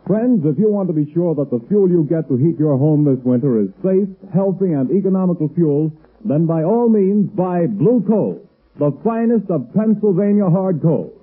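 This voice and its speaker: A high-voiced man delivers scripted old-time radio announcements and sponsor pitches. His voice is husky and fuzzy on a thin, narrow vintage recording. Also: animated, moderate pace, soft background music. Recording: muffled, tinny 1930s radio recording.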